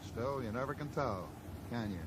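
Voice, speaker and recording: Actor as folksy American sheriff, noisy recording. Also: male voice, mid-range voice, normal speed, lightly acted. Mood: matter-of-fact, probing. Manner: folksy, matter-of-fact, probing. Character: probing, blunt small-town American sheriff, folksy and unruffled. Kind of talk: radio drama acting. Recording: noisy 1980s radio drama broadcast.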